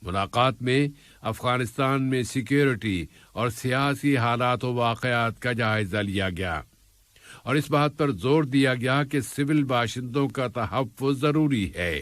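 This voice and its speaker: A man reading a scripted news bulletin in a formal, measured, literary register. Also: mid-range voice, a bit bright, dry recording. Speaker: news reader.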